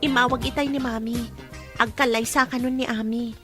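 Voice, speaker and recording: Radio drama role: reassuring young woman actress, high voice, faint music behind. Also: fairly even intonation, moderate pace, close to an everyday voice. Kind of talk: Ilocano radio drama acting.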